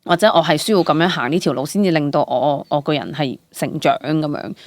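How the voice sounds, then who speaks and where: A young woman with a Hong Kong Cantonese accent speaks in a lowish voice, recorded close and dry. She chats casually and expressively as a guest, dropping English words into her sentences. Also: fairly quick, no echo. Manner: animated storytelling with exclamations, English words mixed in. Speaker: guest, stage actress. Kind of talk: casual personal interview chat.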